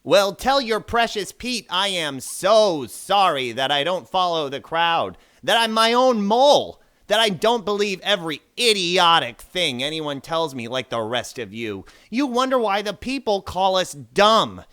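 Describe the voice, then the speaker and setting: A man's voice: sits high, sing-song, unhurried, few pauses, pushed and bright, clean tone, heavily acted. A man acting in an audio drama as a skeptical, sarcastic male mole worker who talks in a teasing, dismissive way. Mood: bitter, defiant.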